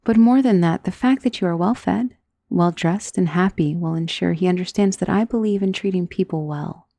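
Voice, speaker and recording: Woman acting a husky, soft-spoken ancient female vampire. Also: fairly low pitch, no room sound. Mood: calm, assured. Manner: soft, intimate, genteel, unhurried endearments, often dropping into whisper. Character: centuries-old female vampire, wealthy, doting, possessive, calmly commanding. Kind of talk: ASMR roleplay. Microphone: close microphone in a dry space.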